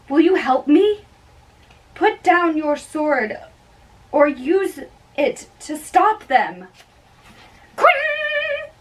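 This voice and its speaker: A woman giving an amateur dramatic reading of a play, performing all the parts in a theatrical, halting way. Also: on the high side. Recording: distant microphone.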